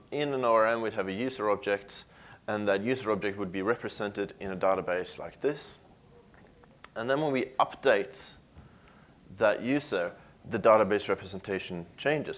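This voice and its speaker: A man in his thirties with a Swedish accent presents a technical talk to a conference audience. His voice sits low, and his delivery stays conversational, with frequent filler sounds between phrases. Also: a bit bright. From Sweden. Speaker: conference speaker.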